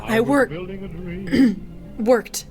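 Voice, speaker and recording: Hesitant, weary young woman, music behind. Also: mid-range voice. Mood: nervous, weary. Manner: hesitant, halting. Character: weary, anxious young woman seeking out rebels. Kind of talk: radio drama acting.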